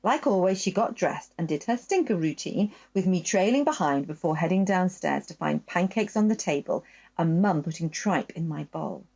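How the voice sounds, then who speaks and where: A woman reading a children's story aloud in a gentle, expressive storytelling voice, telling it in the first person as a dog. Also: on the low side, normal speed, gravelly, slightly rough, lo-fi sound.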